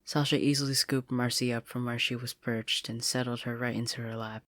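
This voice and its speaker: A woman reads fiction aloud as scripted narration. Her voice is extremely low for a woman. The recording is close and dry, with very little room in it. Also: little pitch movement, fairly quick, a bit bright, no room sound. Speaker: fan fiction reader. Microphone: close USB microphone.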